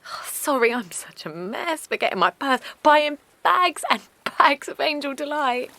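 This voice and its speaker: A frazzled, exhausted young mum. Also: female voice, high-pitched, big pitch swings, measured pace, bright, forceful tone, no echo, full character voice. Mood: anxious weary. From Essex. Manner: anxious, apologetic, rambling, often half-whispered. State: worn out. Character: exhausted young mother of a colicky newborn. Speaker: new mother. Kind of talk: naturalistic audio drama acting. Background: faint outdoor seaside ambience.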